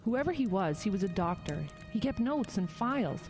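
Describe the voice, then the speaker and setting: Lady acting pointed insistent woman in radio play, very expressive pitch, music behind her. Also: normal speed, close to an everyday voice. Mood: determined. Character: sharp-minded, accusing, determined adult woman. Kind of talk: audio drama acting.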